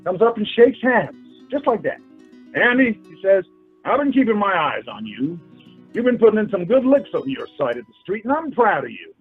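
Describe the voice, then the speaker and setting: A man's voice: high voice, big pitch swings, moderate pace, husky, exaggerated voice. A man acting an earnest, hesitant young New York suitor in a radio play, in muffled audio. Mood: awed, boastful. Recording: muffled lo-fi audio with music behind.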